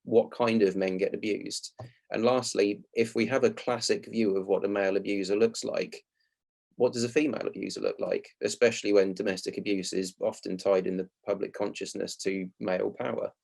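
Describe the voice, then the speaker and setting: Guy doing a prepared talk, really croaky fry, pretty flat tone, measured and clear wording. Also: lowish voice. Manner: measured, explanatory, articulate. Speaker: playwright and actor giving a talk.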